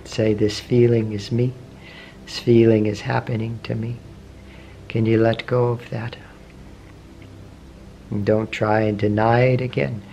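A man leading a guided meditation, asking slow, gentle questions with long pauses between phrases. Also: lowish voice, little pitch movement, creaky. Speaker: meditation teacher. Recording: faint background noise with meditation music.

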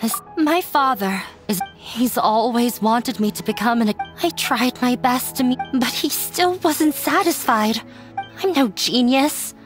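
A woman voicing a gentle, self-doubting young runaway daughter in an anime game, earnest and hesitant. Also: a little high, expressive, a little slow. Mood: sad. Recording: music bed behind the voice.

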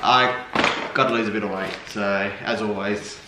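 A man's voice: fairly low pitch, fairly even intonation, normal speed. An Australian man in his thirties teases his partner with a dry joking manner. He sits back from the camera in a big kitchen full of echo, with noise running under his voice. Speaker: vlog co-host, partner. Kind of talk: casual chat. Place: large home kitchen, indoors. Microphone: far camera. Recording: echoey kitchen from far off, noisy.

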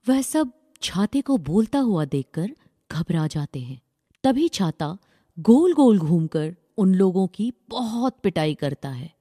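A woman's voice: mid-range voice, very animated, takes it slowly, warm tone, breathy edge, dry recording, clean studio sound. A woman narrating a scripted children's story.

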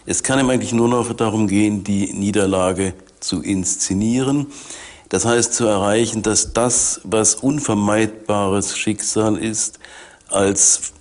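Low-voiced older male academic expert. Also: fairly even intonation, darkish tone. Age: older, 60s. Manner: measured, academic phrasing. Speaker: expert commentator. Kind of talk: analytical documentary interview. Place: dim indoor interview set.

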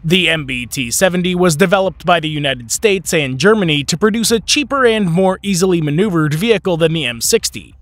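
A man giving scripted documentary narration in an even, informative way. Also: high-pitched, a little fast, hardly any pauses, no echo. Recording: voice-over with faint background music.

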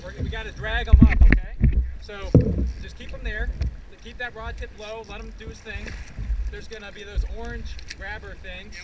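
A man's voice: sits high, level tone, normal speed. A young man guiding a friend's fishing from his kayak, encouraging and playful, calling out tips while out on the open sea. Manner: encouraging, playful, whoops and laughs. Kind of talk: excited coaching from a kayak. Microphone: action camera mounted on kayak. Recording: distant, muffled camera audio with loud wind and water.

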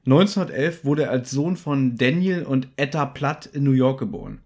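A man's voice: medium pitch, moderate pace, darkish tone. A man co-hosting a podcast in casual, conversational discussion. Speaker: podcast co-host.

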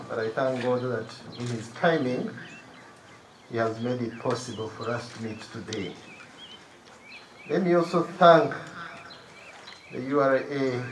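A middle-aged man delivers a formal prepared speech at a slow, deliberate pace. His voice rings with outdoor reflections, and faint birdsong sits behind it. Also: mid-range voice, echoey room, background noise. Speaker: guest of honour giving a speech. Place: outdoors on a lawn by trees. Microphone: stand mic at a distance, under an umbrella.

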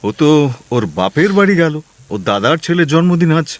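A man's voice: fairly high pitch, sing-song, fairly quick, dry recording. A man playing an anxious, gullible newly married husband in a comic audio drama, hesitant and easily flustered. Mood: worried.

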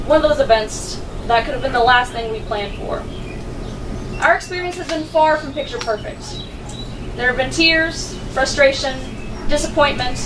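A teenage girl with a high voice gives an earnest, measured graduation speech from a podium. She sounds set back from the microphone, with a clear hum of background noise in the room. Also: distant mic. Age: teens. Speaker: salutatorian giving graduation speech.

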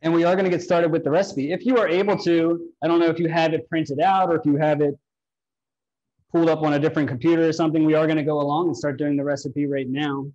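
A man's voice: a little high, level tone, quick pace, a little mellow. Man teaching a live cooking demo. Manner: instructive, walks viewers through steps. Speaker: cooking demonstrator. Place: large home-style kitchen, indoors. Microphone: far camera. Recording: dull lo-fi livestream audio.

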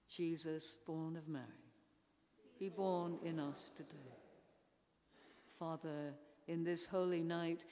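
An older woman leading formal prayers in a solemn, measured way, reading with pauses between phrases. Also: sits low, darkish tone. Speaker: church intercessor leading prayers. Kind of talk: formal read liturgical prayer. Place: large church interior. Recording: echoey church hall, long reverb.

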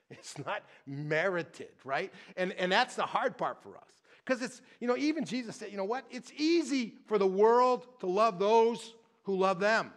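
A middle-aged male pastor giving a sermon in a church, his voice slightly higher than most men's. He talks in a chatty, animated way, often breaking off and restarting and checking in with the congregation. He moves around the stage near a stand mic. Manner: conversational, animated, frequent restarts and "right?".

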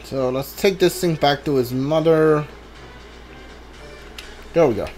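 Male game streamer, slightly high-pitched, casual and halting, thinks out loud and reads prompts aloud, faint game music underneath. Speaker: video game streamer doing a playthrough. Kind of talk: casual gameplay commentary, reading on-screen text aloud. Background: video game music.

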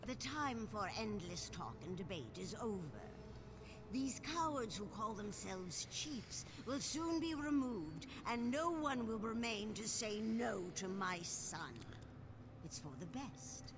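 A woman's voice: medium pitch, big pitch swings, slow pace, background noise. A woman voicing a cold, zealous older orc priestess, solemn and commanding, as a villain in a fantasy video game. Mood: cold disdain.

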